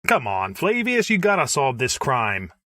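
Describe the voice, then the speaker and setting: An actor playing an eager, excitable Roman senator with slangy, earnest delivery in old-time radio comedy style. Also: male voice, medium pitch, a little slow, dry recording, clean studio sound, heavily acted.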